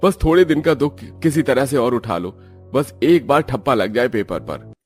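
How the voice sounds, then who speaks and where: A man's voice: medium pitch, animated, moderate pace, darkish tone, faint music behind, natural voice. A man playing defensive, hard-up young village brothers who grumble and explain in a cartoon story. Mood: reassuring. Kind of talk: Hindi cartoon story dialogue.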